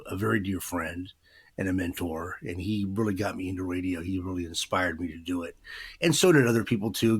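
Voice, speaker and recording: A man's voice: low-pitched, breathy edge. A man in his fifties, a former radio DJ, chatting in an interview in a rambling way with many fillers. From Pacific Northwest, USA. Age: 50s. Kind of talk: casual interview chat.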